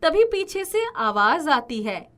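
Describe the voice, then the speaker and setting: A woman's voice: high voice, big pitch swings, takes it slowly, bright, forceful tone. A woman narrating a scripted children's story as the narrator.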